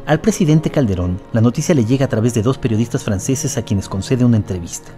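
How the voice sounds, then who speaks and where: A man's voice: mid-range voice, expressive, fast, mellow, soft background music. A man narrating a documentary audio drama in a scripted, explanatory style. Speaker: narrator and analyst.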